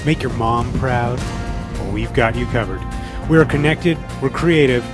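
A man's voice: mid-range voice, unhurried. A man reading a scripted promotional voice-over for an advertisement. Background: music.

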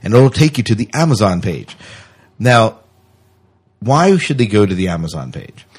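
Low-voiced male podcast host. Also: very animated, normal speed, slightly rough. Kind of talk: casual co-hosted podcast chat. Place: small closed room.

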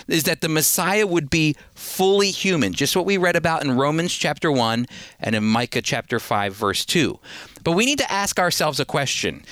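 A man's voice: a little high, a bit bright, dry recording. A man teaching the Bible in an earnest, emphatic way, often repeating a word as he starts a point.

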